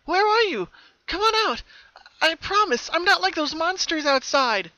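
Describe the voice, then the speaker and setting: Casting: vulnerable young female cartoon pony, very high and bright, earnest, hesitant, timid. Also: very animated, a little slow, dry recording, dull audio, full character voice. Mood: hopeful, eager.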